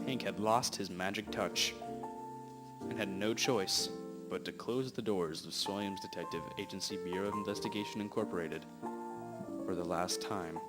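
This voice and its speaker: A young man hosting and narrating an amateur scripted radio drama. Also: lowish voice. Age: teens or young adult. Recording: amateur audio with music behind. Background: guitar music.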